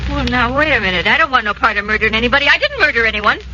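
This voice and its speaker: A woman's voice: on the high side, big pitch swings, very fast, bright, forceful tone, dull audio, slight background noise, heavily acted. A woman playing a brash, defensive, uneducated cleaning woman in a 1940s radio drama, blunt and colloquial, on an old recording. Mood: alarmed.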